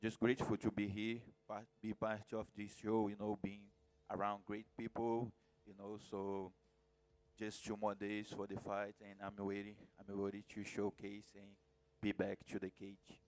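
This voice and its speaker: A male fighter speaking halting remarks full of fillers at a press conference. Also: fairly low pitch, completely flat delivery, a little mellow, low-quality recording.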